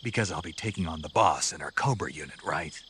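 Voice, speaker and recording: An actor playing a gruff, stoic special-forces soldier in a video game, talking tersely in a gravelly voice. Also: male voice, low voice, fairly quick, fairly bright tone, slight background noise. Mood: wary, challenging. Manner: terse, dry, questioning. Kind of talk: video game cutscene acting over radio.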